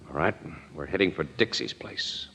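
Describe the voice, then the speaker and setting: A very low-pitched American man's voice, as a shrewd newspaper crime photographer in an old radio drama. He is steady and sure of himself, a loyal sort who talks plainly. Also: normal speed, slightly rough, full character voice. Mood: calm, decisive.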